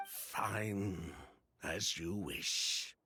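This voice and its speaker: An actor playing a suave, guarded merchant in a video game, speaking in a formal, measured, condescending manner with veiled menace. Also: male voice, sits low, sharp tone, a little hiss or hum, full character voice. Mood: resigned.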